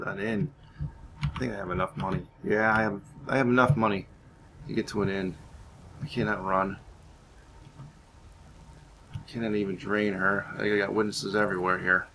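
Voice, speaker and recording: A man with a fairly low voice commentates a roleplay game. He talks casually, drifting between muttered asides and theatrical in-character lines. There is faint noise and game ambience behind him. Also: fairly bright tone. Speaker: gaming video host.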